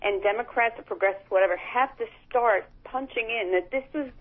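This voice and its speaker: A woman's voice: a little high, a little fast. Woman calling in over a tinny phone line. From Florida. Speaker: caller. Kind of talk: phone-in call.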